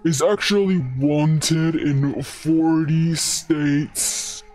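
Male cop acted by a man, lightish voice, slow, hesitant, deadpan, game roleplay. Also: fairly high pitch, soft background music. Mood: hesitant, matter-of-fact. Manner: hesitant, measured. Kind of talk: improvised video game roleplay.

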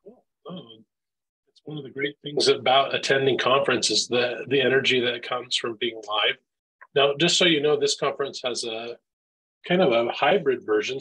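A man with a rough, gravelly voice hosts an online session for teachers. He talks loosely with many fillers, and the call audio cuts in and out. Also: medium pitch, pushed and bright, low-quality recording. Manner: loose, filler-heavy, friendly instructions. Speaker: webinar presenter for teachers. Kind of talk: casual online presentation. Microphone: video call. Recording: video call audio, volume dropping in and out.

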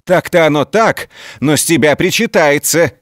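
A man's voice: fairly high pitch, moderate pace, dry recording, studio quality, voice pushed up, lightly acted. A man voicing a rude, boastful older foreman of a lumber crew who mocks others in a folk tale. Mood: grudging bluster.

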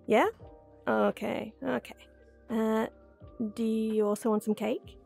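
A woman's voice: on the high side, steady tone, slow pace, creaky, a little mellow, soft background music, full character voice. A young woman playing a playful, flustered friend with a secret crush in an intimate audio roleplay, teasing, giggly and hesitant. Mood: cheerful, giggly. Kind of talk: intimate one-sided ASMR-style roleplay acting.

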